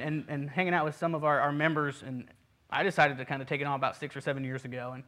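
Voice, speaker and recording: Man, 30s, pastor, sermon, earnest, self-deprecating, stumbling restarts, brightish tone. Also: a little high. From Puerto Rico.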